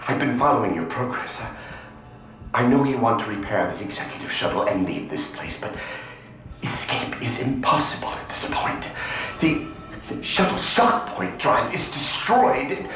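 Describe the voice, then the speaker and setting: An actor playing a frantic, guilt-ridden scientist in a video game, pleading and stammering with urgent repetition. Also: male voice, mid-range voice, very animated, normal speed. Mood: anguished, pleading. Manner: stammering, repeats himself urgently. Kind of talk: dramatic video game acting. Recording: very echoey, music underneath.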